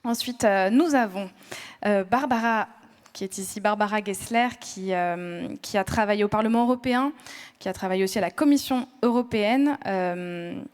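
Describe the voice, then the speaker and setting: A woman's voice: medium pitch, normal speed, a little mellow, smooth. A woman moderating a round table, introducing each panelist with frequent hesitations and restarts. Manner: hesitant, many "euh" fillers and restarts. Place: conference room with audience.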